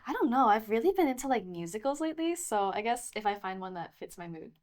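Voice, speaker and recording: A woman's voice: fairly high pitch, very animated, fast, a little mellow. A young woman who is a pageant candidate, upbeat and chatty, using fillers like "like" and "um".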